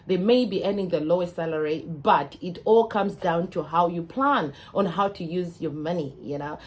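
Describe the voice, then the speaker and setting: A Zimbabwean woman in her thirties talks casually to her viewers, giving earnest advice and filling pauses with plenty of ums and uhs. Her voice sits a little low for a woman. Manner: earnest, advising, with frequent fillers. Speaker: English teacher and vlogger. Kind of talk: casual talk to camera.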